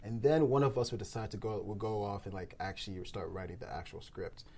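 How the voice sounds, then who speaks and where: A podcast co-host with a creaky, mellow voice, talking casually at a round table. The delivery is animated and emphatic, full of slang. A faint room noise sits behind the speech. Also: low-pitched, brisk. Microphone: podcast microphone.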